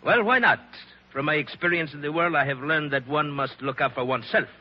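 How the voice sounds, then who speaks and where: Guy doing a smug bandit boss w/ foreign accent, husky, formal talk, muffled old 1940s radio. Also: fairly high pitch, fairly quick, lightly acted. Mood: smug, genial. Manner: courtly, boastful, formal phrasing. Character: suave, self-satisfied male mountain bandit chief.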